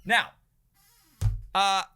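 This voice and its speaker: A man co-hosting a podcast in casual chat, a little hoarse, chatty and excitable. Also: very slow. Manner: chatty, excitable, self-mocking. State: slightly hoarse. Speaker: podcast co-host.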